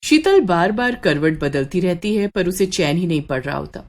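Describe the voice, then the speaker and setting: A woman's voice: on the low side, lively intonation, normal speed, darkish tone. A woman storyteller reading a scripted horror story.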